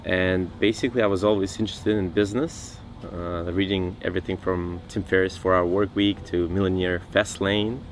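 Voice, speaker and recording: A man from Siberia in Russia, introducing himself in a measured, matter-of-fact way. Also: sits low, steady tone, slight background noise. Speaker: mastermind participant. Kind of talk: casual self-introduction.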